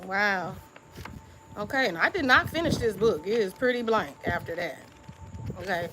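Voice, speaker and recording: A woman's voice: mid-range voice, normal speed, noisy background. A woman YouTube creator talking through old journal entries in a casual live-stream chat, confiding and reflective, often checking in with her listeners with "okay?". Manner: relaxed informal speech.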